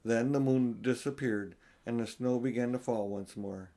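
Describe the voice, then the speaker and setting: A man's voice: mid-range voice, normal speed, mellow. An older man, a grandfather, reading a children's story aloud in an informal, homely style.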